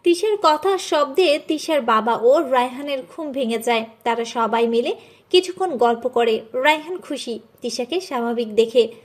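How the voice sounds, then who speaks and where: A woman's voice: high-pitched, moderate pace. A woman reading a scripted story as its narrator.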